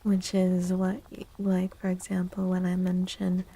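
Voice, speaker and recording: A woman speaking softly and breathily in a gentle, soothing ASMR livestream style, often slipping toward a whisper as she hosts and reads tarot. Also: on the low side, completely flat delivery, a little slow. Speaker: livestream host and tarot reader. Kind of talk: soft-spoken ASMR livestream, chatting and reading tarot.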